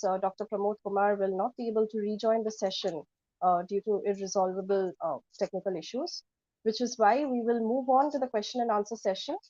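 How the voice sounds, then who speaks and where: A woman's voice: mid-range voice, warm tone, dry recording, highs cut off. A woman moderating a panel, speaking formally and courteously.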